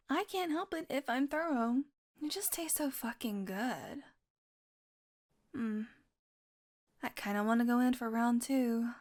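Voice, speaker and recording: A woman's voice: on the high side, vocal fry. A woman acting a playful, sardonic, curious young vampire in an intimate audio roleplay. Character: teasing, curious young female vampire doing experiments.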